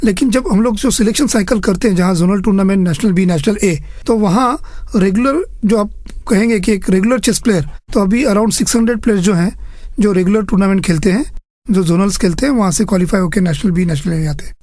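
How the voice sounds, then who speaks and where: A man's voice: high-pitched, fast, a little mellow, no room sound. Man explaining methodically, dry close sound. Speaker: chess federation official interviewed as guest. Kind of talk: explanatory interview talk mixing Hindi and English.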